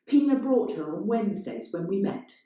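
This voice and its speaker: A woman's voice: medium pitch, animated, normal speed, warm tone, pitched above the performer's own voice, lightly acted. A woman voicing a wry, confiding adulterous man in a stage monologue read as a story. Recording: very echoey room.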